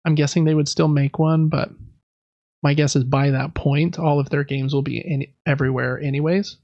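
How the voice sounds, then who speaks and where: A man's voice: on the high side, fairly even intonation, moderate pace, no room sound, lo-fi sound. A man hosting a podcast, giving casual, conversational news commentary with informal, slangy asides. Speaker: podcast host.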